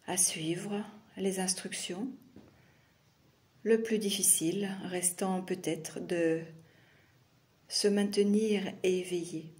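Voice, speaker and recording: Woman doing guided yoga nidra, super slow, soft dark relaxed voice, lots of pauses. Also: mid-range voice. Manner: slow, soothing, with long pauses between short phrases. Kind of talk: guided meditation.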